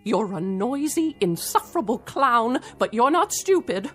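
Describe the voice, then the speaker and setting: A middle-aged wife at the end of her patience in a theatrical radio drama. Her voice sits high and moves through very lively swings. She is sardonic and cutting, leaning hard on her words. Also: a little slow, soft background music. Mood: fed up.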